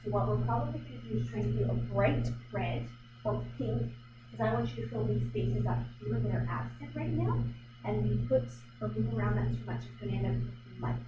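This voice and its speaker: A woman's voice: on the high side, brisk, muffled recording. A woman artist leading a live painting demonstration, thinking aloud in a chatty, hesitant way with many fillers. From Vancouver Island, Canada. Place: art gallery. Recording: echoey room from far off, loud background noise.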